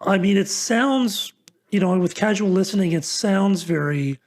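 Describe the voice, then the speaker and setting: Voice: medium pitch, animated, measured pace. An interviewer on a songwriting show, thoughtful and analytical, hesitantly piecing sentences together in a conversational way.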